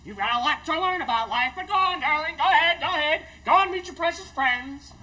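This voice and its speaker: Haughty, melodramatic older mother male performer for the stage, very high, sweeping indignant pitch. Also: animated, quick pace, sharp tone, distant mic, a little hiss or hum, heavily acted, rough recording. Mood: haughty, wounded. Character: imperious, wounded, self-pitying older mother of the groom. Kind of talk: theatrical stage monologue.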